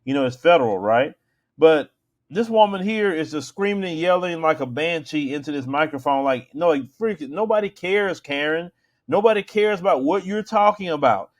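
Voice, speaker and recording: A man's voice: on the high side, animated, normal speed. Emphatic American man, casual commentary. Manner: colloquial, conversational, emphatic, repeats for emphasis. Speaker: show host and commentator.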